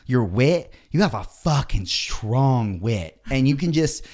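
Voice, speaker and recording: A man hosts a podcast in relaxed, meandering chat. He pads his talk with fillers and drops casual swears. His voice sits at an ordinary pitch, close to a studio microphone. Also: takes it slowly. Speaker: podcast host.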